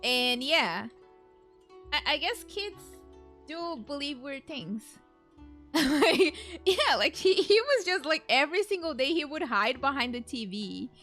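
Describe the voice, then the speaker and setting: A woman's voice: sits high, sing-song, measured pace, bright, forceful tone. A young woman giving casual, chatty let's play commentary as a streamer, with a giggly, hesitant way of talking. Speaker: streamer playing a video game. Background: game music.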